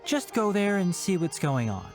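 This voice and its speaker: Video game role: composed young male mentor, measured and caring, expressive pitch, music underneath. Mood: reassuring, concerned. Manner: measured, thoughtful, explanatory. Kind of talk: scripted video game dialogue. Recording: music behind the voice.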